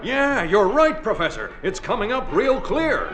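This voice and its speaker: An actor playing an eager, agreeable tracking station assistant in a radio comedy, excitable and deferential. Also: male voice, high-pitched, measured pace, loud music behind the voice.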